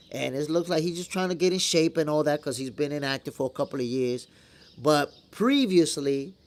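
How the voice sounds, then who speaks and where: A man with a fairly high voice and a New York accent talks boxing on a live stream. He is conversational and slangy, speaking straight to his viewers like friends as he gives his opinions. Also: creaky. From New York, after moving from the Dominican Republic at eight. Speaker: boxing show host. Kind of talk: casual live-stream commentary, answering viewer comments.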